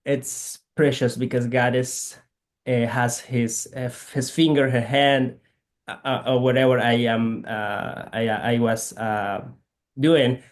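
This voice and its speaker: Guatemalan guy, k'iche' first language, halting english, keeps hunting for words. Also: mid-range voice, little pitch movement. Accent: Guatemalan Spanish. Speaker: guest, physical therapist missionary. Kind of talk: conversational interview.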